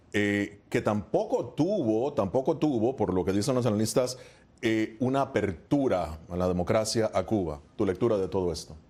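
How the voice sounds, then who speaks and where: A man with a low, mellow voice anchors a studio news segment. He speaks formally and leads the panel with pointed questions. His tone stays relaxed throughout. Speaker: news host.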